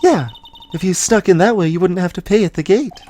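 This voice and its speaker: A woman's voice: fairly low pitch, a little fast, dark tone, natural voice. A woman voicing an eager, cheeky teenage girl sidekick, playful and curious, as a video game character.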